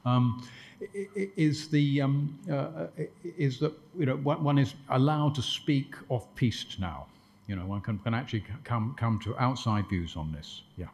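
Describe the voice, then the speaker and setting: A man's voice: mid-range voice, moderate pace, creaky, a little mellow. A man answering questions after his lecture, discursive, with stumbling restarts and dry wit. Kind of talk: conference Q&A answers.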